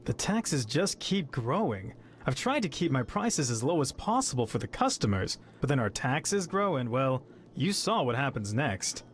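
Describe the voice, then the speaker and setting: A man's voice: medium pitch, big pitch swings, moderate pace, a little hiss or hum, close to an everyday voice. A man voicing a polite, apologetic, burdened shopkeeper in a fantasy visual novel game. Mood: weary, apologetic. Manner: courteous, apologetic.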